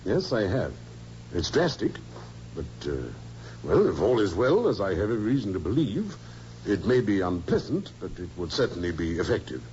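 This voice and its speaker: A brisk, animated police superintendent. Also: male voice, lowish voice. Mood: firm. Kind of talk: old-time radio drama acting. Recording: muffled, noisy old radio recording.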